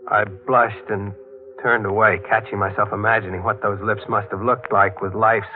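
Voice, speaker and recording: A man's voice: lowish voice, little pitch movement, normal speed, natural voice. An actor playing an earnest, haunted young rookie reporter in a 1940s radio drama, telling his story with confessional intensity. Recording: tinny 1940s radio recording, music behind.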